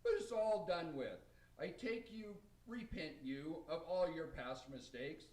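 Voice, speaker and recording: A man's voice: fairly high pitch, animated, unhurried, a little mellow, far from the mic. Kindly, earnest Father Christmas figure, played by a man, reciting radio-play verse in a roomy echo, off mic. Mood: forgiving. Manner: declaiming rhymed verse, earnest. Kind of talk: amateur radio play acting.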